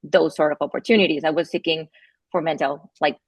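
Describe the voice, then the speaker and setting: A woman with an Argentinian accent tells her story in a casual interview. Her voice sits low with a gravelly edge. She pauses and restarts often, recorded dry and close. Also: fairly even intonation, no room sound. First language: Spanish. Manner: halting, with many fillers and restarts, laughs between phrases. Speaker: guest, company founder.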